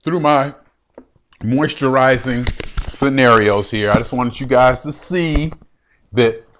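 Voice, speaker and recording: A man in his late fifties talking on and on to a webcam in his room, animated and laughing, often repeating himself. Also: medium pitch, slow pace, a little hiss or hum, rough recording. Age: 50s. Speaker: vlogger. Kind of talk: rambling solo vlog to a webcam.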